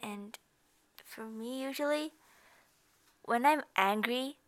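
A woman's voice: a little high, slow pace. A woman whose first language is Japanese, chatting casually in English as a podcast guest, laughing often and using loose fillers. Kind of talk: casual chat.

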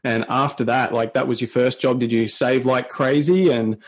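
A man's voice: mid-range voice, steady tone, moderate pace. A man hosting an interview podcast, listening closely with frequent short affirmations and asking follow-up questions. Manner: attentive, frequent short backchannels. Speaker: podcast host. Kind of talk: casual interview.

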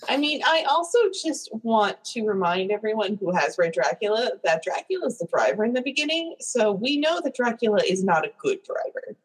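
Husky-voiced woman chatting casually. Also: medium pitch, big pitch swings, fairly quick, few pauses, rough recording. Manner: chatty, laughs often, asides to the screen. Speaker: podcast co-host. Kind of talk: casual movie-watching commentary.

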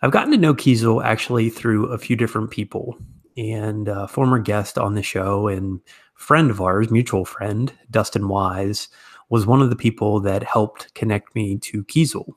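A man's voice: lowish voice, moderate pace. American man hosting a podcast. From United States. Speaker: podcast host and interviewer. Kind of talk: podcast introduction and conversational interview.

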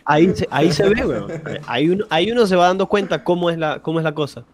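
A man's voice: on the high side, animated, fast talker, crowd noise. A man with a Chilean Spanish accent chatting casually as a podcast guest, slangy and full of restarts.

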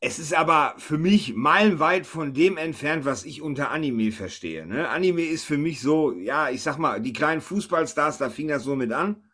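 Lockerer Podcast-Moderator mit eher hoher Stimme. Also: male voice, on the high side, expressive, normal speed, hardly any pauses, sharp tone. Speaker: podcast host. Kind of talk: casual podcast chat.

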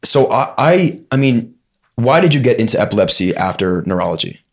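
A man's voice: medium pitch, big pitch swings, a little fast. A young man hosting a podcast, asking questions in a jokey, easygoing way. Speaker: podcast host. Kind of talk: casual podcast chat.

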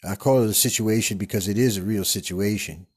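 A man's voice: on the low side, fairly quick, darkish tone, husky. A man playing a rambling older Western TV actor who speaks with a Texan accent and drifts off into digressions, stumbling and repeating his words. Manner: meandering, digressive storytelling with many stumbles. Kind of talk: improvised comedic character monologue. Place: studio.

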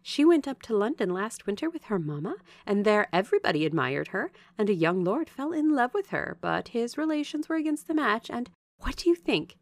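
A warm-hearted young servant woman chatters away in a classic storybook, acted out by a woman narrator. Her voice is lifted high, and her manner is lively, familiar and full of gossip. The recording is dry. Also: a little fast, hardly any pauses, no echo. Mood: gossipy. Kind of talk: storybook character dialogue.

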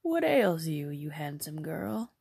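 A woman voicing a small old fairy woman who bargains slowly and coaxingly, slipping into hushed whispers. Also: low voice, sing-song, vocal fry, slightly rough, dry recording, studio quality, full character voice.

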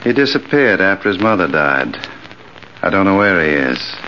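An actor playing a bitter gray-haired ex-convict bent on revenge, sardonic and deliberate, in 1940s radio drama style. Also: male voice, deep voice, big pitch swings, sharp tone, heavily acted. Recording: dull, hissy old radio recording.

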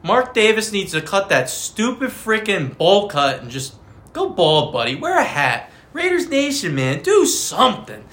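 A man's voice: sits high, wildly swooping pitch, unhurried, fairly bright tone. A man co-hosting a casual fantasy football podcast, joking and trash-talking in slangy banter. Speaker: podcast co-host.

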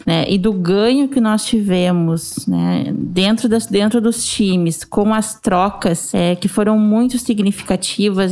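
A woman, a guest on a podcast, explaining a corporate program in a measured way with frequent tag fillers. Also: medium pitch, darkish tone. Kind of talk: explanatory interview answers.

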